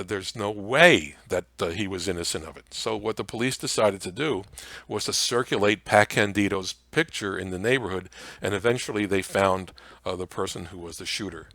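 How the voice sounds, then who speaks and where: Low-pitched American man giving an informal lecture. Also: a bit bright, dry recording. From Mount Vernon area, New York, United States. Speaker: presenter, radio history lecturer. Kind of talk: informal slide lecture on old-time radio history.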